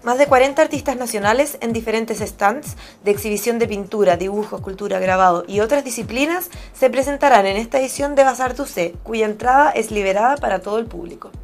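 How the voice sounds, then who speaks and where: A woman narrates event listings in a scripted news voice-over. Her voice sits slightly high and her read is smooth and even. Soft music plays underneath. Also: a little fast, hardly any pauses.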